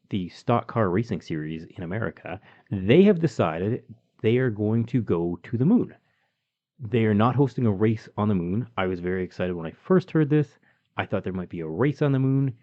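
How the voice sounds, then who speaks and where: A Canadian man with a lowish, mellow voice talks through engineering news in a relaxed podcast chat, filling pauses with um and you know. The sound is a little dull and lo-fi. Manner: explanatory, chatty, with many ums and you-knows. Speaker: podcast co-host.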